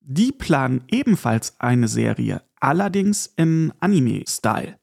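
Male podcast host, gravelly fry in a mid-pitched voice, chatty and informal solo commentary. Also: sing-song, unhurried, darkish tone. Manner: chatty, informal, addresses listener directly. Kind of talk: casual solo news and review commentary.

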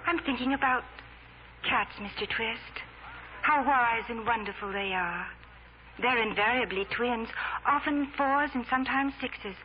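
Young woman, acted by a woman: high voice, earnest, worried, hesitant. Vintage 1940s radio drama, dulled old recording. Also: measured pace, dull audio, slight background noise, close to an everyday voice. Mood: wistful. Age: young adult. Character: earnest, anxious, self-doubting young woman, a twin sister.

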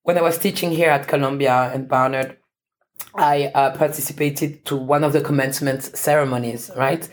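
Interview guest: woman professor from France, deep voice, reflective, many fillers and restarts. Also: steady tone, normal speed.

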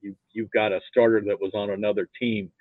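A man from Nebraska with an American accent, chatting casually as a sports fan guest in a halting, rambling, folksy way. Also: lowish voice, level tone, no echo. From Nebraska, United States. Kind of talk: casual chat. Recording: thin phone-like narrow-band line.

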